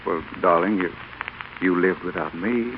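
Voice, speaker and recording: Radio drama: patient, well-bred father, fairly low voice, faintly noisy vintage recording. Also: unhurried, narrow, tinny audio. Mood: gentle, regretful. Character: gentle, dignified, well-bred middle-aged father. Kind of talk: 1930s-40s radio drama acting.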